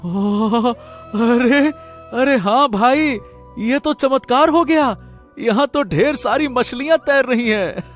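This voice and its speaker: Man voicing an excitable high-pitched village farmer. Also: slow pace, soft background music. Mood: amazed. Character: excitable, simple-hearted poor male village farmer. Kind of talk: Hindi cartoon story voice acting.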